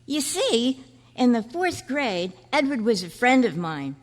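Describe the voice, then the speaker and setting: A woman's voice: on the high side, very animated, a little slow. A woman playing an earnest, chatty fourth-grade boy in an old-time radio style performance. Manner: chatty, boyish slang. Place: large indoor room at a convention. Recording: echoey hall at a club meeting.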